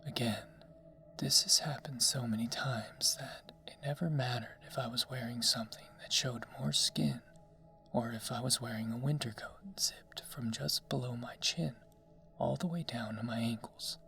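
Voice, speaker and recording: A narrator reading a scary story in a hushed ASMR whisper, slow and deliberate. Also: medium pitch, steady tone, creaky. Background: background music.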